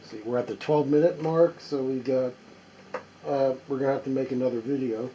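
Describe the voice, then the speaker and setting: A man casually talking to himself as he sorts a stack of old records, reading the labels aloud in a halting, musing way. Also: medium pitch, steady tone, dark tone, a little hiss or hum, low-quality recording. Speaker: record collector. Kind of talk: casual running commentary while sorting records.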